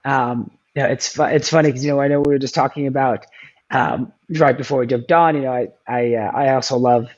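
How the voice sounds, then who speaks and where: A man's voice: mid-range voice, fairly even intonation, fairly quick. Guy rambling thru a video call interview, lots of ums, muffled lo-fi sound. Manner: rambling, many ums and restarts. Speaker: guest. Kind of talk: casual interview chat.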